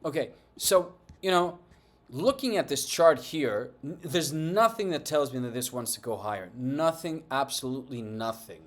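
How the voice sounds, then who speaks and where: A man whose first language is Greek talks through market charts in English with a Greek accent, using a voice of ordinary pitch for a man. He lectures emphatically and keeps checking in with his viewers, turning to open taunts when he pushes a point. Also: expressive, moderate pace. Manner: emphatic and lecturing, with many tag questions and taunts. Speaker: finance channel host. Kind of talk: opinionated market commentary to camera.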